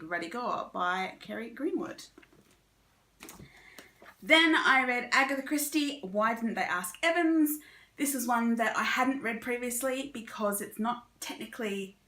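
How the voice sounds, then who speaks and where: A woman's voice: mid-range voice, bright, forceful tone, echoey room. A woman chatting casually and informally as she reviews books, giving her opinions freely. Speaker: book reviewer and vlogger. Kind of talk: casual monthly reading wrap-up chat.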